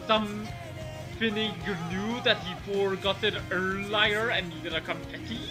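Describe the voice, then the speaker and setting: A man's voice: high voice, a little slow, music in the background. A man bantering casually as a commenter during a group reading. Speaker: co-host commenting on fan fiction.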